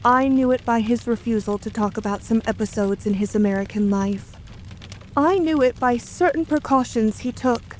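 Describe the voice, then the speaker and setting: A woman's voice: a little high, normal speed, a little hiss or hum, natural voice, low-quality recording. A woman playing a composed, guarded English wife of a murdered man in an audio drama, speaking earnestly and formally.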